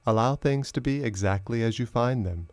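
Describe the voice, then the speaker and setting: A man narrating a guided meditation as a teacher, in a slow, measured, instructional way with long pauses. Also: medium pitch, darkish tone, clean tone, dry recording.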